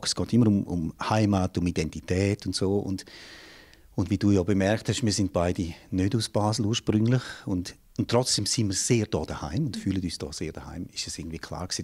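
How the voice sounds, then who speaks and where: A man's voice: fairly low pitch, darkish tone, slightly rough. A man in his forties with a St. Gallen Rhine Valley Swiss German accent, answering interview questions thoughtfully in a small studio room, restarting phrases as he searches for words. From St. Gallen Rhine Valley, Switzerland. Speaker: jazz singer and yodel teacher, interview guest. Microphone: handheld mic held by interviewer.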